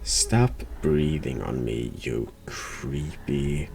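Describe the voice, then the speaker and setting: A man giving reactive gaming commentary in a gravelly voice, with dry, sarcastic, muttered replies. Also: very deep voice, drawn-out words, a little mellow, faint music behind. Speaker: game streamer, virtual YouTuber.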